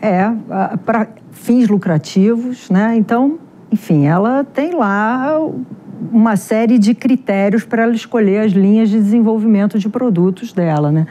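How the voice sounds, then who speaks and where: A middle-aged woman with a carioca accent from Rio, a genetics researcher answering interview questions in a chatty, animated way with many fillers. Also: mid-range voice, normal speed, a little hiss or hum. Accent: Carioca Portuguese. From Rio de Janeiro, Brazil.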